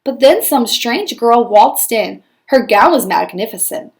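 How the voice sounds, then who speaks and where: A woman acts out a snobbish stepmother telling her side of a storybook tale. She is theatrical and exasperated, forever sorry for herself, in a high voice. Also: expressive, measured pace. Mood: put-upon. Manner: theatrical, put-upon.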